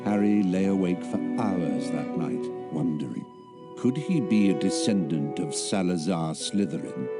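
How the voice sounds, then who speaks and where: A man narrating as a calm storyteller in a video game. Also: deep voice, unhurried, loud music behind the voice. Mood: hushed, ominous.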